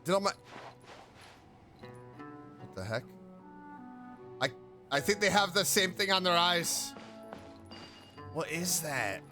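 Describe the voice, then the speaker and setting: A man's voice: high voice, expressive, slow, long pauses, bright, forceful tone. A man giving casual, exclamatory gameplay commentary as a streamer, muttering his reactions. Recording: game music behind the voice. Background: game music.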